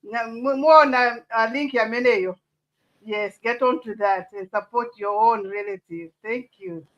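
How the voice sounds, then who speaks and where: A woman from Zambia, a guest on a live-stream chat, talking in a lively, greeting way. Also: fairly high pitch, measured pace, lo-fi sound. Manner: lively, greeting, mixes in Nyanja.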